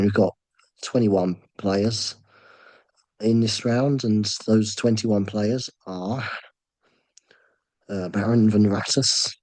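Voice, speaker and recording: A man with a sore throat hosting an online draw, talking in a halting, low-key way with many ums and pauses. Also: darkish tone, no echo, low-quality recording. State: sore throat, voice nearly gone. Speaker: host running a fantasy draw. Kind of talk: casual screen-share commentary.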